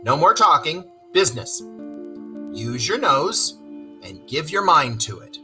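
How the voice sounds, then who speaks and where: A kindly, decisive rat from a storybook acted out by a man, loyal and hearty. The voice is bright and forceful, with animated pitch and an old-fashioned, chummy way of speaking. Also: a little slow, soft background music, heavily acted. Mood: hearty, resolute. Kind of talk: storybook read-aloud character voice.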